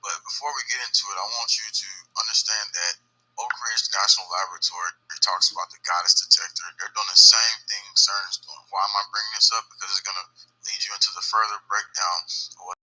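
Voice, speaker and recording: Raspy, bright, forceful man hosting. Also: on the low side, fairly even intonation, quick pace, rough recording. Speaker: online video host. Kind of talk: excited conspiracy-themed commentary.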